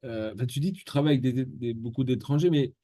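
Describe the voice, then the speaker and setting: A man hosting a casual interview, relaxed and full of fillers and small agreeing sounds. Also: mid-range voice, fast talker, dry recording, clean studio sound. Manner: relaxed, frequent fillers and backchannels. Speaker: interview host.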